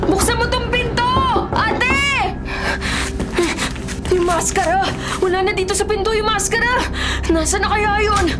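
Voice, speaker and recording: A woman playing a frightened young boy who narrates and cries out in a panicky radio horror drama. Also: very high-pitched. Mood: scared. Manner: breathless, panicky exclamations and dread-filled narration. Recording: loud dramatic music and sound effects.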